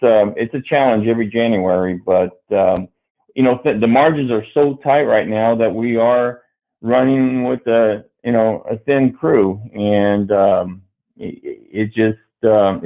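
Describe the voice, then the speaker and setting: A middle-aged dairy farmer, born in Brazil, talking on a livestream panel in a halting way with many fillers and restarts. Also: male voice, on the low side. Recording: tinny phone-like video call audio.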